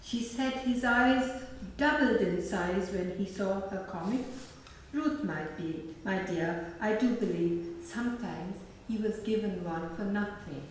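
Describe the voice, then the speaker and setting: A woman playing a kindly, sentimental married neighbour in a radio play, speaking gently and with open affection. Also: medium pitch, slow, close to an everyday voice. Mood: fond regret. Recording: roomy, distant, faint background noise.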